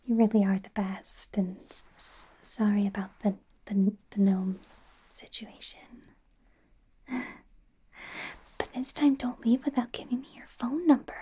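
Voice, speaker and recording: Woman as a frazzled whispering werewolf student. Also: medium pitch. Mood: relieved, nervous. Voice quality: breathy soft whisper. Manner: nervous, hesitant, rambling with stammered repeats. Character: frazzled, anxious, friendly young werewolf medical student. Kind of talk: whispered ASMR roleplay.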